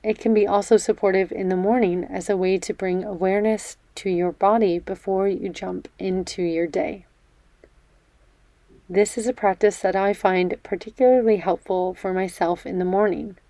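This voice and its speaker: A woman leading a guided meditation in a gentle, measured, soothing way, leaving pauses between phrases. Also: medium pitch, steady tone, no room sound. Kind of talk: guided meditation, body scan.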